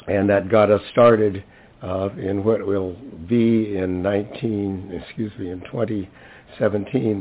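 An older man with a hoarse voice gives an informal, chatty, lightly humorous introduction at a public event. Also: lowish voice. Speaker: library center director introducing a speaker. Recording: thin, phone-like event recording.

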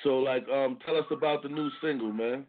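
A man's voice: mid-range voice, fairly quick. Slangy male radio host, tinny line. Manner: easygoing, slangy, repeats "no doubt". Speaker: radio interviewer. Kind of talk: casual hip-hop radio interview. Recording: tinny phone-line sound.

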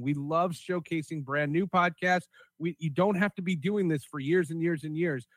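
A man with a fairly high voice hosts a casual podcast. He rambles excitably and repeats himself for emphasis, recorded close and dry. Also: dry recording. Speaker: podcast host.

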